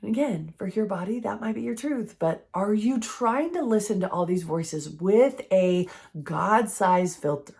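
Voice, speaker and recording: A woman with a froggy, hoarse voice from recent illness, giving an earnest, conversational faith-based health teaching. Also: mid-range voice, animated, unhurried, a little mellow. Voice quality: froggy, strained voice.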